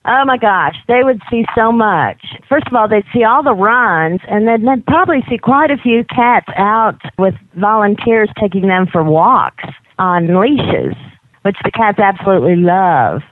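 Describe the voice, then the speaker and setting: A woman's voice: mid-range voice, animated, unhurried, no echo. Southern US woman on a tinny phone line. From Mississippi, United States. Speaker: guest, animal sanctuary team leader. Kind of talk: casual interview chat. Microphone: telephone.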